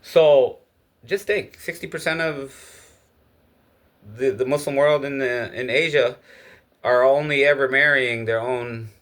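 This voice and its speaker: A man's voice: mid-range voice, measured pace, fairly bright tone. A man from Egypt giving a casual, opinionated spoken monologue. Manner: opinionated, lecturing. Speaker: speaker giving his views.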